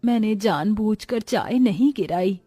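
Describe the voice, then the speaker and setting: A woman's voice: mid-range voice, expressive, unhurried, mellow, breathy edge, no echo, soft background music. A woman voicing a humble, educated young woman from a poor family in a cartoon moral story, earnest and pleading. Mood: wistful, meek.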